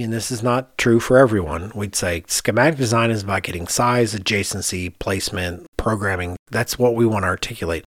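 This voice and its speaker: A man's voice: fairly low pitch, dry recording, studio quality. A man co-hosting a podcast, explaining things casually and conversationally, often thinking aloud. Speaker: podcast co-host, architect.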